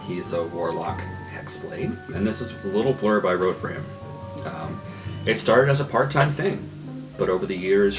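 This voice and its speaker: A man's voice: lowish voice, poor audio. A man playing a tabletop game, introducing a character from a prepared written blurb. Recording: background guitar music under the voice.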